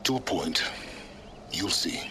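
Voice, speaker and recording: A man's voice: low-pitched, animated, takes it slowly, background noise. A man playing an aloof, secretive scholar-tinkerer in a video game, smug, precise and sardonic. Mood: impatient, cryptic. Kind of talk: video game dialogue acting. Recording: music over the voice.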